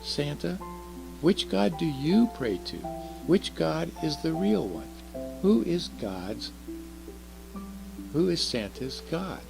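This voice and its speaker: A man voicing a curious, earnest little girl in a children's storybook, soft and chatty, asking and explaining eagerly. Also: a little high, big pitch swings, slow, vocal fry, long pauses, music in the background, pitched above the performer's own voice, full character voice, poor audio. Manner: eager questioning, explaining carefully. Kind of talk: children's storybook read aloud.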